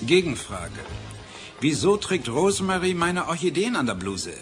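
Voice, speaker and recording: An actor playing a nervous, secretive and evasive orchid breeder with a hesitant manner in an audio drama. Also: male voice, on the high side, very animated, moderate pace. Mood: irritated. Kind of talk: German audio drama acting. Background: music.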